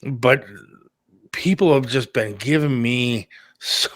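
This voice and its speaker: A man's voice: medium pitch, takes it slowly, a bit bright, no echo. A middle-aged man talking candidly and casually on a talk show, plain-spoken and a little defensive. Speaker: radio producer and talk show host.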